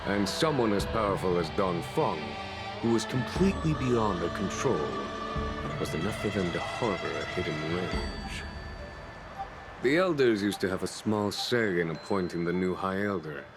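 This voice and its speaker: An actor playing a calm, cunning male general in a game cutscene, speaking in a smooth, knowing, unhurried way. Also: low voice. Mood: composed. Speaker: game character. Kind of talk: video game cutscene dialogue. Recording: game audio with loud music.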